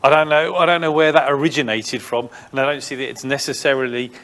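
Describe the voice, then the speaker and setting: Mid-pitched man moderating a panel discussion. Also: fairly even intonation, fast, a bit bright. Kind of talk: informal discussion at an industry event.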